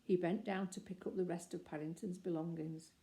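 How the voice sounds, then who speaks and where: A woman reading a children's story aloud in a gentle, measured way. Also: lowish voice, fairly even intonation. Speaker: narrator.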